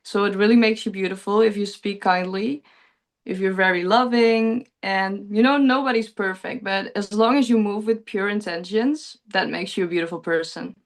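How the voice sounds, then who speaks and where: A woman's voice: medium pitch, moderate pace. Young dutch woman on a zoom call, chatty and earnest, laughs a lot, medium voice. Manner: earnest, chatty, laughs often, relives moments with lively quoting. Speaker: pageant contestant, guest. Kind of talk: casual interview answers. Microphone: video call.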